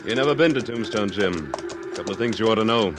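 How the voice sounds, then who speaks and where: A man's voice: on the low side, expressive, fast talker, natural voice. An actor playing a tough, terse Civil War veteran cattleman in an American radio western. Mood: cautioning. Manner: terse, blunt. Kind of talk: 1950s radio western acting. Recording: noisy old radio broadcast.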